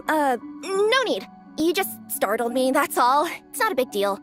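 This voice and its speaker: High, bubbly young cat-girl. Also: sing-song, unhurried, fairly bright tone, exaggerated voice. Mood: chatty, sheepish. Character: friendly young female cat yokai courier, easily startled. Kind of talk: video game voice acting. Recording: game music behind the voice.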